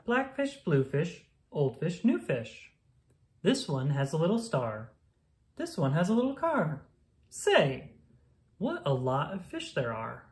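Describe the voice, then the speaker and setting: A woman reading a rhyming children's picture book aloud in an expressive, singsong storytelling style. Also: low voice, slow pace.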